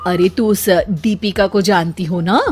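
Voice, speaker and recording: A woman's voice: medium pitch, unhurried, darkish tone, slightly rough, faint music behind. A woman voicing an elegant, vain and envious housewife in a cartoon story. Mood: proud, envious. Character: tall, elegant housewife, vain yet envious of a film star.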